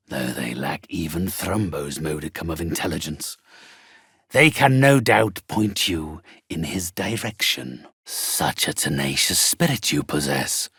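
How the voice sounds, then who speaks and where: An actor playing a sinister, haughty undead necromancer in a video game, with a raspy voice and formal, drawn-out, menacingly polite delivery. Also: male voice, sits low, bright, forceful tone, no echo, clean studio sound, heavily acted. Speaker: spirit medium. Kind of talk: theatrical video game villain acting.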